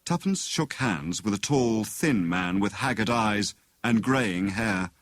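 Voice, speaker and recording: Expressive British male audiobook narrator, dry studio sound. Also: fairly low pitch, no room sound. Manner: measured, dramatic storytelling. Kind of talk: scripted narration of a detective story.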